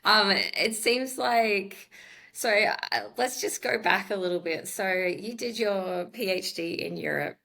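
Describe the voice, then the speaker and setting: A woman's voice: medium pitch, slow pace, gravelly, pushed and bright. A woman hosting an interview, asking questions in an encouraging, admiring way. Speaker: podcast host. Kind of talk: casual interview.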